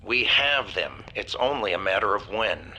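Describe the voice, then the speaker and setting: A scheming agency director in a video game. His voice is low, bright-edged and a little husky, with soft music under it. He talks in a calm, persuasive, bureaucratic way. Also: moderate pace, full character voice, rough recording. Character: smooth-talking male agency director. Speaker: agency official. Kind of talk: game cutscene voice acting.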